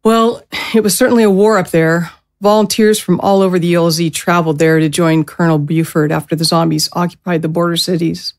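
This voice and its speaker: A woman playing a gentle, protective middle-aged mother in an audio drama, speaking in a soothing, careful way. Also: lowish voice, steady tone, brisk, hardly any pauses, close to an everyday voice. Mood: sorrowful.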